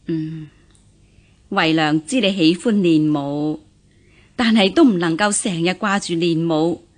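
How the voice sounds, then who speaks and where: An actress playing a stern but caring middle-aged mother in a historical radio drama, measured and dignified, with a Hong Kong Cantonese accent. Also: lowish voice, lightly acted. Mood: measured, admonishing. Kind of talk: radio drama acting in historical costume piece.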